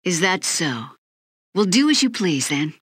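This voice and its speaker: A woman's voice: fairly low pitch, big pitch swings, a little slow, a bit bright, no room sound, clean studio sound, full character voice. An actress playing a cool, scheming demon woman in a video game, sardonic and composed. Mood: aloof. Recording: game audio with clear background noise.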